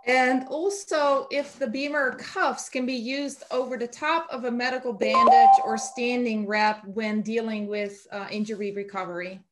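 A woman in her forties moderates a webinar from her webcam, reading out audience questions. Her voice sits a little high, with occasional fillers. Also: fairly even intonation, moderate pace, few pauses, noisy background. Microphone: video call webcam.

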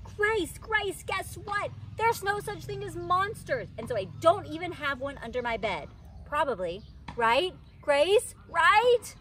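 A woman's voice: very high voice, very animated, slow, fairly bright tone, voice pushed up, heavily acted. A woman voicing an anxious, pestering little schoolgirl from a children's storybook, pleading and repeating herself insistently. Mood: hopeful pestering. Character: anxious, pestering little schoolgirl narrating her own troubles. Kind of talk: children's storybook read-aloud, dramatized. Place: outdoors in a wicker pod chair under trees. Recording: outdoor ambient noise.

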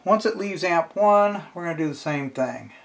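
A man's voice: high voice, animated, moderate pace, vocal fry. A middle-aged man giving a casual hands-on tutorial, informally thinking aloud as he talks himself through each step. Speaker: instructor. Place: indoors at a wooden workbench.

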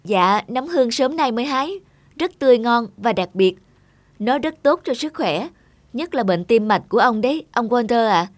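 A woman's voice: fairly high pitch, animated, normal speed, dry recording, natural voice. A woman voicing a patient, kindly young waitress in a cartoon, speaking politely and reassuringly. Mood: polite, soothing. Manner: courteous, gently reassuring. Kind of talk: animated cartoon dubbing. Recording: music behind a close dry voice.

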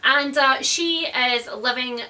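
A woman's voice: high-pitched, takes it slowly, bright, forceful tone. A woman in her thirties hosting a casual, opinionated book chat, speaking in a blunt, wry way with some mild swearing. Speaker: book reviewer, video host. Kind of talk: casual chatty book discussion. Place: indoors, room lined with bookshelves.

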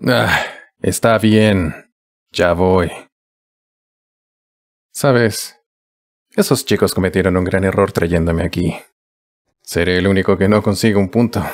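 A man's voice: deep voice, slow pace, long pauses. A man playing a weary, reluctant, self-deprecating teacher in an anime fandub, with a Latin American accent. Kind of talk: anime comic fandub acting.

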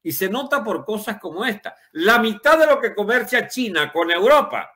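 A man's voice: high-pitched, very animated, normal speed, bright, forceful tone. A man in his seventies giving an opinionated, unscripted political monologue in an emphatic way, with rhetorical questions and colourful wording. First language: Spanish. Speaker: political commentator.

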